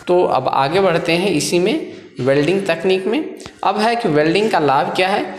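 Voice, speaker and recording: A man's voice: sits high, normal speed, slight background noise. Echoey man reading out a welding lesson. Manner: explains step by step, reading from a page. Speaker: trade instructor. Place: voice-over over scanned book pages. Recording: echoey room with long reverb.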